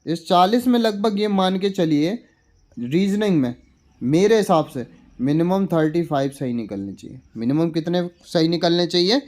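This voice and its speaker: A man's voice: a little high, animated, moderate pace, darkish tone. A man talking as a coaching teacher in an informal explainer, repeating points as questions and answering them with check-in tags. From India. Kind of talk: informal exam analysis explainer.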